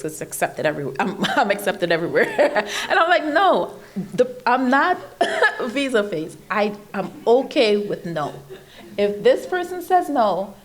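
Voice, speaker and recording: A woman from Trinidad with a Trinidadian accent, giving an animated motivational speech at an event, building emphatic lists and laughing often. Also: mid-range voice. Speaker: keynote speaker. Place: event stage.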